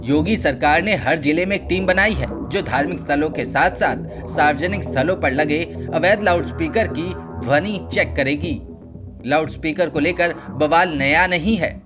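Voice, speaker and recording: A man reading a scripted news explainer in a formal, expository way as a narrator. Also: a little high. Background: background music.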